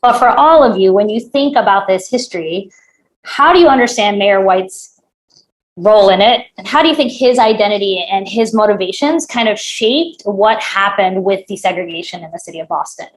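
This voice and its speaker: A woman's voice: medium pitch, lively intonation, normal speed, poor audio. A woman with a mid-range voice moderates a panel discussion. She introduces speakers and frames questions in conversational, spontaneous speech.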